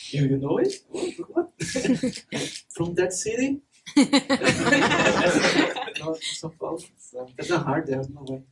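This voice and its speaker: A man's voice: sits high, big pitch swings, slow. A young man from Brazil with a Brazilian Portuguese accent, giving a chatty conference talk full of fillers and laughs. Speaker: conference speaker. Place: dark event hall with stage and projector. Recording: distant mic with faint noise.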